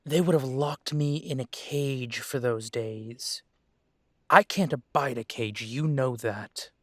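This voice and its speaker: A man's voice: medium pitch, slow, no echo. A man plays a young werewolf son in an audio drama, standing his ground with a firm, defensive edge. His voice sits mid-range with a plain tone, recorded dry and close. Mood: defiant. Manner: defensive and firm, then quiet and conciliatory. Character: young adult male werewolf, defiant estranged son.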